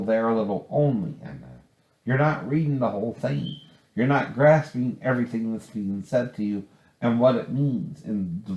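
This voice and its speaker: A man's voice: mid-range voice, darkish tone. A man giving informal, rambling religious commentary, often checking for agreement as he goes. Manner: rambling, often seeks agreement with tag questions. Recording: echoey room.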